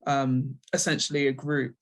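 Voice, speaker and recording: A woman's voice: extremely low pitch, level tone, normal speed. Woman from the uk being interviewed, chatty, kinda hesitant, figuring out answers as she talks. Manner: hesitant, thinking aloud. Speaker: comic writer, interviewee.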